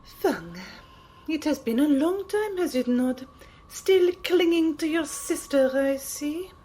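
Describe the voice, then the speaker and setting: Actress as a defiant action heroine, audio drama, high voice, slow formal delivery, noisy background. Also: female voice, animated, warm tone. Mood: cold. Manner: deliberate, formal phrasing. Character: defiant, stern heroine facing old enemies. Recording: clear background noise.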